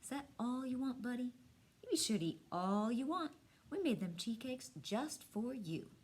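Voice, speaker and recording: Storybook grandmother, acted by a woman: Southern US accent, kindly, teasing, folksy, husky, very animated melody. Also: fairly high pitch, moderate pace. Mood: caring. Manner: folksy, homespun, coaxing. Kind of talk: storybook read-aloud.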